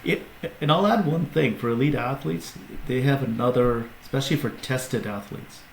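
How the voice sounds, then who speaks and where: A man's voice: mid-range voice, normal speed, creaky, a little hiss or hum. A man, a company CEO, talking casually as a podcast guest, explaining at length with restarts and fillers. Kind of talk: casual interview talk. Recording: echoey room with faint ambience.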